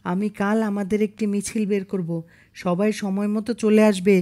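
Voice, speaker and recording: A woman's voice: medium pitch, level tone, moderate pace, warm tone, natural voice. A woman voicing an earnest, devout young boy in a cartoon, exhorting others in a preachy way. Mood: rallying, resolute. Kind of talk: cartoon dialogue.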